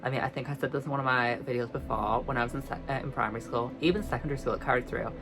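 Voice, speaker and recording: A woman's voice: very deep voice, steady tone. Young British woman, girly light voice, casual vlog chat, self-mocking, music behind. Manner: chatty, self-mocking, drawn-out emphasis. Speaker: vlogger.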